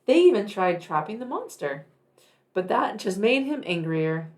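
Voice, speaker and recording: A woman narrates a picture book for young children. She takes her time over each phrase and moves expressively up and down in pitch. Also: very animated. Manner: deliberate, addressing young children. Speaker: children's read-aloud storyteller.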